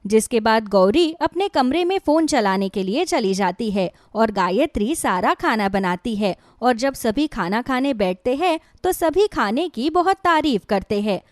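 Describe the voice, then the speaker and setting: A woman's voice: on the high side, expressive, measured pace, few pauses, no echo, clean studio sound. A woman storyteller reading scripted narration of a moral tale.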